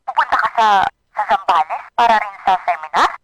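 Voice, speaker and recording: Friendly young woman, telephone filter, bright, caring, radio drama. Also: mid-range voice, expressive, fairly quick, a little hiss or hum, poor audio. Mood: pleased, concerned. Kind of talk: Filipino radio drama acting.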